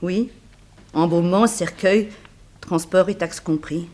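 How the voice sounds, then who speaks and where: Widow role, vintage sixties radio drama: high-pitched, plaintive, earnest, credulous. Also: male voice, takes it slowly, darkish tone. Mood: earnest, explaining. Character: plaintive, trusting widow recounting her misfortune. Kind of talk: 1960s French radio drama acting.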